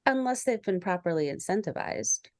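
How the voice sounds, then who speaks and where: A woman improvising a cool, ruthless sister from a 1950s dynasty in a tabletop roleplay, deliberate and matter-of-fact. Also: medium pitch, big pitch swings, a little mellow, no echo, clean studio sound, natural voice. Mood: pensive. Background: background music.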